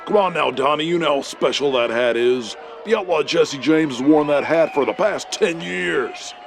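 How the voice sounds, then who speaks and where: An actor playing an earnest 1980s television wrestling interviewer with a mild, hesitant, protesting manner. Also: male voice, a little high, expressive, moderate pace, gravelly. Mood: uneasy, protesting. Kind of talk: 1980s wrestling broadcast acting.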